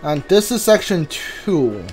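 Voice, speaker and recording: A video game player giving casual commentary, thinking aloud in a halting way. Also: medium pitch, very animated, a little mellow, soft background music.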